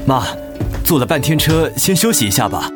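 A man's voice: medium pitch, very animated, moderate pace, close to an everyday voice. An actor playing a conciliatory, apologetic son-in-law and hardworking family man in a radio drama. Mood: soothing, cheerful. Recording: music behind the voice.

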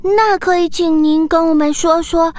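A woman's voice: very high-pitched, fairly even intonation, moderate pace, a little mellow. A woman voicing an earnest, eager young dolphin schoolboy in a children's audio drama.

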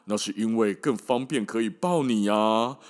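A man's voice: on the low side, normal speed, lightly acted. A man voicing a sly, scheming big bad wolf who sweet-talks a little girl in a storybook tale. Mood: coaxing, menacing. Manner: sweet-talking, wheedling. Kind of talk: storybook character voice in podcast storytelling.

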